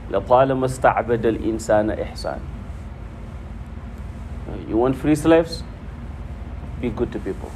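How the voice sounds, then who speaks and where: A man's voice: medium pitch, quick pace, pauses often. A man preaching informally to a congregation, repeating phrases for emphasis. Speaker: preacher teaching hadith. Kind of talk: informal religious talk to a congregation, quoting Arabic. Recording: noisy room with steady background hum.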